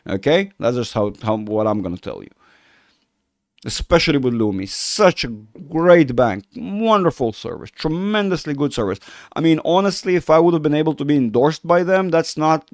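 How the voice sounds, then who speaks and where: A man from Israel whose first language is Russian talks loosely through a market livestream. He rambles, restarts often and gushes freely. His voice sounds smooth and resonant, and it is close and dry on the mic. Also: medium pitch, darkish tone, no room sound. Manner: rambling, self-correcting, effusive. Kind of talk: casual livestream chat.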